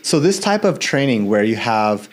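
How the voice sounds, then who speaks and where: A man hosting an interview, asking quick questions and giving short backchannel replies. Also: medium pitch, very animated. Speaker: interview host.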